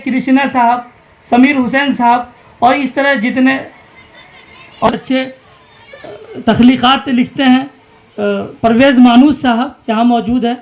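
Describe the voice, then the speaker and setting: A man's voice: very high voice, highs cut off, slight background noise. A man hosting an event, making formal stage announcements. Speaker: event host. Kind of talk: formal stage announcements in Urdu literary gathering. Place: festival hall indoors. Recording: echoey hall over a PA.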